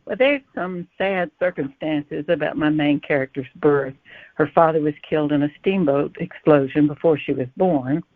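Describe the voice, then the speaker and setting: A woman's voice: low voice, normal speed, no echo. A woman author answering as an interview guest, explaining in a storytelling style over a narrow phone-like line.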